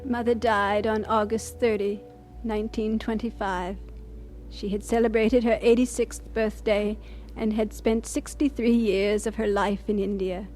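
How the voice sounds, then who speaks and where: An actress playing a devoted missionary doctor, reflective and sincere, narrating and acting in an audio drama. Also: female voice, mid-range voice, flat delivery, measured pace, darkish tone, soft background music, close to an everyday voice. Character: composed, devoted female missionary doctor, reflective and warm-hearted.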